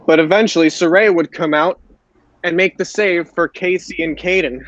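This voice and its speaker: A man hosting a podcast, giving a casual wrestling recap in a halting way, often repeating phrases. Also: fairly high pitch, steady tone, a bit bright. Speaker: podcast host. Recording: roomy, dull lo-fi voice chat.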